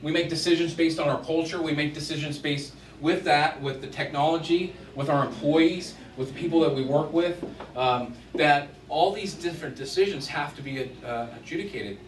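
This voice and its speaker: Deep-voiced man presenting to a room. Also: moderate pace, few pauses. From Washington State, US West Coast. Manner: conversational, chatty, frequent fillers. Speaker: guest speaker. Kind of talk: casual business presentation. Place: event room with audience. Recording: echoey room, far from the mic, faint noise.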